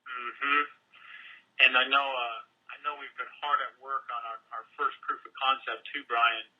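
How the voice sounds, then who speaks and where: A man with a rough-edged, husky voice hosts a promotional conference call. He speaks casually and emphatically, with clear enthusiasm. The sound is lo-fi and muffled, as over a telephone. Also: medium pitch, flat delivery, normal speed.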